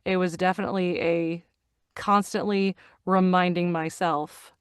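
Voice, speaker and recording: A woman's voice: lowish voice, fairly even intonation, unhurried, smooth, no room sound, clean studio sound. A middle-aged woman, a voice actor and narrator, talking earnestly in a casual interview, often restarting and repeating phrases as she searches for words. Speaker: guest, voice actor and audiobook narrator. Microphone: studio microphone.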